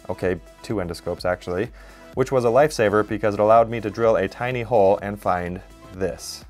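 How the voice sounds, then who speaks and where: A man in his thirties, host of a DIY channel, narrating his build in an upbeat, self-deprecating way. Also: lowish voice. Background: faint background music.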